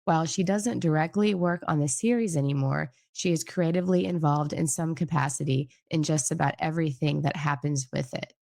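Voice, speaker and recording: A woman's voice: deep voice, a little fast, creaky, few pauses, mellow, dry recording, studio quality. A young woman with a nasal voice from a lingering cold, casually chatting as host and reading news aloud, rambling and hedging as she goes. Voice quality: nasal, getting over a cold. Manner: rambling and hedging, with many fillers. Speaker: host of a news recap channel.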